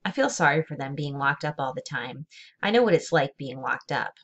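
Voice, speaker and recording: A woman's voice: a bass voice, animated, brisk, fairly bright tone, exaggerated voice. A woman voicing a shy, awkward man who works in a pet shop, speaking in plain, short statements for a storybook. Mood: gentle, confessing.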